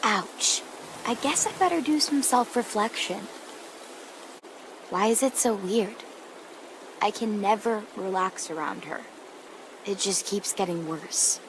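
A woman playing a guarded, guilt-ridden teenage girl survivor in a video game, subdued, halting and sardonic. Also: mid-range voice, animated, noisy background. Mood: wry, then uneasy. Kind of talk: naturalistic video game drama acting. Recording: clear outdoor nature ambience behind.

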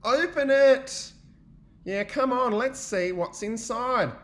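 A man voicing impatient, excitable little forest animals from a storybook, in shrill exclamations. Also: takes it slowly, exaggerated voice.

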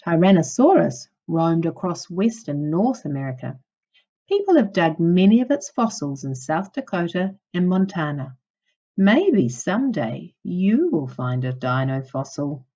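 A woman with a lowish, softly mellow voice reads a children's non-fiction book like a primary teacher. Her intonation moves up and down a lot, and her phrasing is instructive and easy for young listeners to follow. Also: very animated, measured pace, muffled recording. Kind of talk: children's non-fiction read-aloud lesson.

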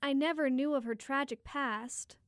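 An actress playing an earnest narrator telling a Greek myth in scripted audio drama. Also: female voice, sits high, moderate pace, no room sound, studio quality, natural voice.

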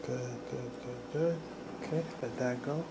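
Man, chiropractor with a gravelly edge. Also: medium pitch, unhurried, soft background music. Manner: calm, repetitive short cues. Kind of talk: soft-spoken instructions to a client during treatment. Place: small indoor treatment room. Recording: noisy room ambience.

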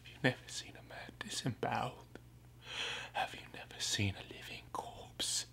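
A man acts out a ragged, mad prophet in a dramatic monologue. The voice sits fairly low and moves very slowly, brooding and anguished. It shifts between hushed, breathy whispering and ringing, theatrical cries. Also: a little hiss or hum. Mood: haunted, whispering.

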